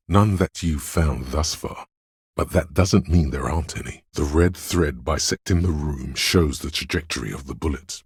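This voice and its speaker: A man voicing an analytical inner voice in a video game, narrating in a measured, dryly deadpan and precise way. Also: very deep voice, slightly rough, no echo, clean studio sound, full character voice. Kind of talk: scripted game narration, second person.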